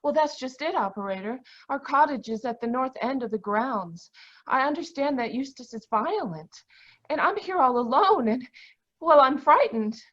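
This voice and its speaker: A frightened wife home alone played by a woman, with a high voice. The recording is muffled and lo-fi. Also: animated, normal speed, a bit bright.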